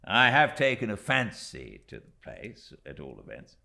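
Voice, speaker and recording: A breezy, self-assured young British gentleman from a classic novel, as a male narrator plays him. The voice is bright and forceful, swinging widely in pitch, with an airy, jocular confidence. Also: wildly swooping pitch, measured pace, gravelly, exaggerated voice. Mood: merry, airy. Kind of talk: dramatised classic audiobook dialogue.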